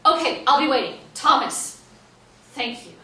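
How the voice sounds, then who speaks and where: An actress playing an agitated woman playwright who pleads and exclaims haltingly in a staged play reading. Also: sits high, bright, forceful tone. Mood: urgent, pleading. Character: agitated, anxious woman playwright, talking to herself. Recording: roomy stage, distant mic, faint noise.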